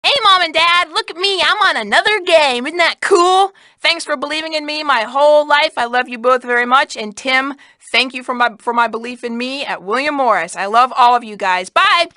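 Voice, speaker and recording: A woman's voice: high-pitched, moderate pace, sharp tone, dry recording, clean studio sound. A woman voice actor giving a casual, personal recorded message.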